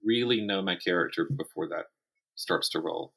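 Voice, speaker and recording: A man's voice: deep voice, normal speed, vocal fry, a bit bright, slightly rough. A man speaking as a guest author in a casual interview, often restarting and repeating words as he thinks aloud.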